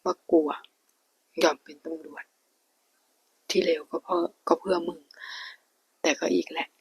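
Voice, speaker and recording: A woman voicing a blunt, teasing young male police officer in a soft, husky whisper. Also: high-pitched, flat delivery, unhurried, dry recording, exaggerated voice, low-quality recording. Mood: lecturing. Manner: soft whispered delivery, crude banter.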